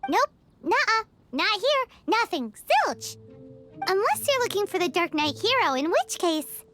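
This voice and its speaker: A tiny, excitable female sprite who chatters and teases without pause. Her voice sits extremely high and bounces all over in a sing-song way. Game character dialogue. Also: soft background music, full character voice. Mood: nervous. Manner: excitable, teasing, quick to chatter.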